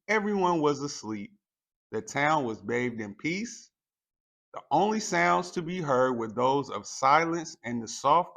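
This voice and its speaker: A man with a mid-range voice reads a children's story aloud. His pace is slow and deliberate, and his delivery is expressive, shaped for young listeners. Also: medium pitch, vocal fry. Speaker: storytime reader. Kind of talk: children's picture book read-aloud, scripted narration.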